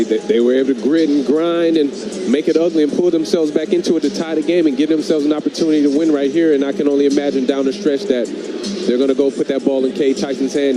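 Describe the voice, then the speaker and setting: A man working as a color commentator, giving live game analysis in a conversational way, repeating words as he builds a point. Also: mid-range voice.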